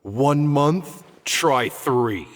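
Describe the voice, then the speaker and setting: Indignant scolding scholar in a hall. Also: male voice, medium pitch, lively intonation, very slow, a little hiss or hum. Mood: stern. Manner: haranguing, wordy, sarcastic. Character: indignant elderly male scholar scolding an old colleague. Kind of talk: video game voice acting. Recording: reverberant hall with music behind.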